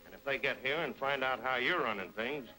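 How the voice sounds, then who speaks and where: Anxious, fast-talking western henchman. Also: mid-range voice, pushed and bright, faint music behind, poor audio. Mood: urgent, worried. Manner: urgent, clipped. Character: anxious henchman reporting to his crooked boss.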